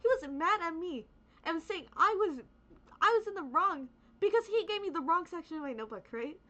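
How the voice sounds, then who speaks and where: Bright, high-voiced young woman ranting. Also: animated, fairly quick. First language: Spanish. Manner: rambling, profane, full of "like" and "right?". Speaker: VTuber streamer. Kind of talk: casual ranting monologue. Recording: dull lo-fi recording.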